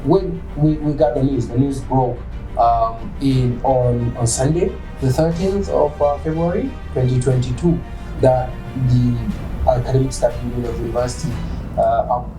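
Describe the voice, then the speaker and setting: Nigerian man hosting an interview. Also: mid-range voice. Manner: formal, measured questioning with frequent hums. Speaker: host. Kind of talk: radio-style interview. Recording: echoey room with music behind.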